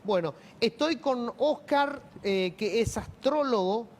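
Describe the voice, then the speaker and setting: Talk show host moderating a studio panel. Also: male voice, extremely high pitch, animated, slow, a little hiss or hum. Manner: conversational, interjects often.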